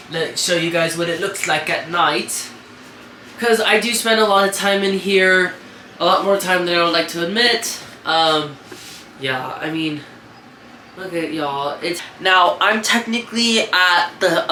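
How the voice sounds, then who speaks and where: A man's voice: a little high, sharp tone, slight background noise. A congested young man rambling casually and swearing as he shows off his rat shed to viewers. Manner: rambling, profane, chatty with viewers. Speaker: reptile and rat breeder vlogger. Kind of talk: casual show-and-tell vlog. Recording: echoey shed room.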